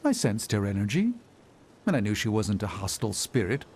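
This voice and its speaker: A courtly male sage from a video game. He speaks formally and grandly, with wide swings in pitch. Faint ambience sits behind him. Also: big pitch swings, brisk, darkish tone, a little hiss or hum. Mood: pleased, stately.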